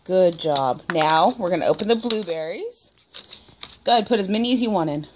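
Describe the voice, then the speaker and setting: A woman's voice: lowish voice, animated, a little fast, noisy background. Patient woman guiding a small child. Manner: patient, encouraging, instructing step by step. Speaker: parent cooking with her child. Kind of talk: casual home cooking video. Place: indoor home room at a table.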